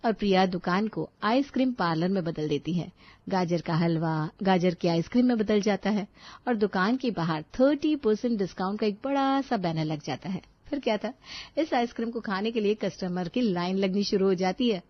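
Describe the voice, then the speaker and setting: A woman's voice: medium pitch, normal speed, no echo, highs cut off. A woman narrating a scripted story as a storyteller.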